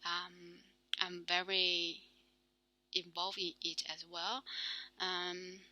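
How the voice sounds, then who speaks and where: A young woman with a Chinese accent, speaking as a non-native talking through her reading in a halting, filler-heavy monologue. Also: on the low side, level tone, pushed and bright, no echo, low-quality recording. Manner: halting, many fillers and restarts. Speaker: solo talk show host. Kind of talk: casual spoken practice monologue.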